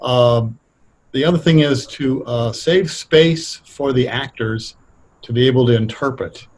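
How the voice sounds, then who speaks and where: A middle-aged or older American man explaining things conversationally as an interview guest, thoughtful and halting as he picks his words. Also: mid-range voice, darkish tone. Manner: hesitant, thoughtful, halting delivery. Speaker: interview guest, radio playwright.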